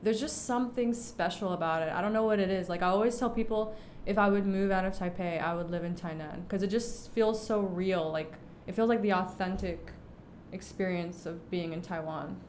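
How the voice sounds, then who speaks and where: A woman's voice: fairly low pitch, normal speed, darkish tone, a little hiss or hum. A young woman who grew up in Egypt, chatting casually as a podcast guest, with lots of fillers and an easy laugh. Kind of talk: casual interview chat.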